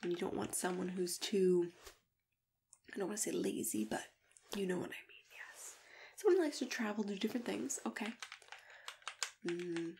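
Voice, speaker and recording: Hushed, crackly-voiced woman doing ASMR roleplay. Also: medium pitch, vocal fry. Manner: gentle, hushed, unhurried, drifting into whispers. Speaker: ASMR roleplay host playing a matchmaker. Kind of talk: ASMR roleplay, soft-spoken chat with whispering. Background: computer keyboard typing and clicking.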